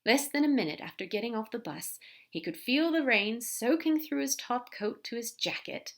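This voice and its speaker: A woman narrating a fan fiction story aloud in a gentle, even storytelling way. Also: on the high side, moderate pace. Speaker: podfic reader.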